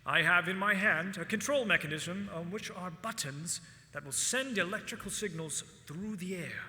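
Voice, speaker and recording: An actor playing a proud, fervent visionary inventor, declaiming grandly and formally in a stage musical. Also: male voice, high-pitched, normal speed. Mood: triumphant showmanship. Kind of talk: theatrical stage oration. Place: stage of a reverberant theatre. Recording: live theatre hall reverb, loud music.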